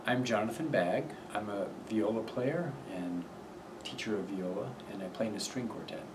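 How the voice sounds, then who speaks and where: A middle-aged man with a fairly low voice, recorded a little away from the microphone with clear noise around him. He answers interview questions in a measured, thoughtful manner. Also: recorded from a distance. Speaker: interviewee, viola player and teacher. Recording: off-mic with clear background noise.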